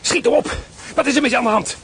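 A man's voice: extremely high pitch, quick pace, a bit bright, slight background noise. An actor playing a tough prisoner of war who urgently bosses his companion around in a radio play. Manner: urgent, bossy. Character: tough male prisoner following orders. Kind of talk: radio drama acting.

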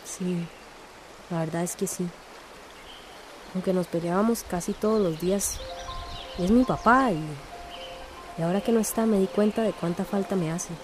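A woman's voice: mid-range voice, moderate pace, pauses often, over music, lightly acted. An actress playing an anxious but determined young village woman in a radio drama. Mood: reflective.

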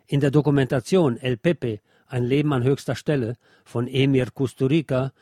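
A man working as a radio host, reading a written obituary aloud in a measured, deliberate way. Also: mid-range voice, fairly even intonation, mellow, dry recording, clean studio sound. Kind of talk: read-aloud scripted obituary narration.

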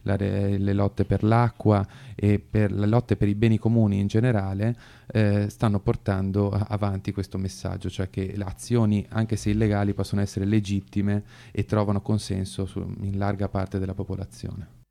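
A man giving semi-improvised political commentary in a halting way, often searching for his words. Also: on the low side, flat delivery, creaky, dark tone. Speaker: radio commentator.

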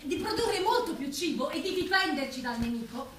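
A woman's voice: sits high, animated, brisk. A woman playing an idealistic, persuasive farm animal in a stage play, addressing others in a declamatory way. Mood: eager, persuasive. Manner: declamatory, explaining to a gathering. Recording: roomy, distant, clearly noisy stage recording.

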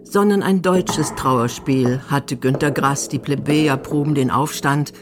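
Low-voiced woman narrating a radio documentary. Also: measured pace, noisy background. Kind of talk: scripted narration of a radio feature.

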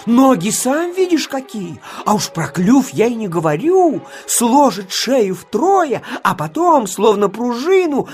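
A woman voicing a wise, protective old reed, hushing and cautioning in a children's audio play. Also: a little high, husky, exaggerated voice. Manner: hushing, shushing, storytelling emphasis.

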